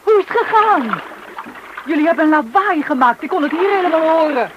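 A woman's voice: extremely high pitch, animated, brisk. An actress playing an anxious, eager, inquisitive younger woman in a radio drama. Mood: anxious, curious. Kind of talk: Dutch radio drama acting. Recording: dull, noisy old radio recording.

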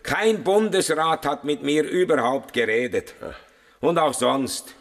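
An actor playing a weary, disgruntled older householder of some eminence in a German radio crime drama. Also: male voice, on the high side, unhurried. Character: weary, eminent old householder surprising burglars. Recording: long hall reverberation.

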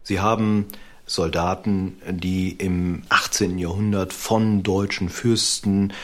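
A man explaining history in a documentary interview, measured and explanatory, with a husky voice. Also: low-pitched, flat delivery, a bit bright. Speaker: history expert.

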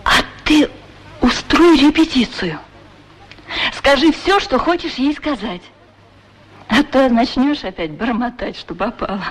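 Gentle talking golden sandal. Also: female voice, high voice, lively intonation, measured pace, long pauses, slightly rough, heavily acted. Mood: encouraging. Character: talking pair of golden women's sandals, gentle, curious and caring. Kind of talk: radio play acting. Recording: noisy 1960s radio recording.

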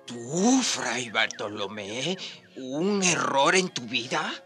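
An actor playing a kindly priest in a radio drama, coaxing and reassuring. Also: male voice, medium pitch, big pitch swings, slow, pushed and bright, faint music behind, full character voice. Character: kindly, consoling priest, companion to an old friar.

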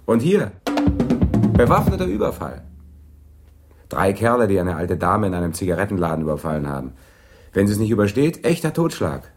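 An actor playing a brisk, eager police detective in a crime radio play. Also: male voice, deep voice, big pitch swings, faint music behind. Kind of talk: radio drama acting.

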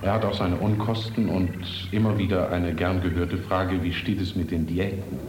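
Male reporter, very low pitch, roomy archive recording with clear noise. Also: level tone, normal speed.

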